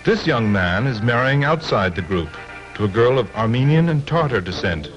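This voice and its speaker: A man giving scripted documentary narration in a measured, expressive way. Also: mid-range voice. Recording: dull old film soundtrack with music.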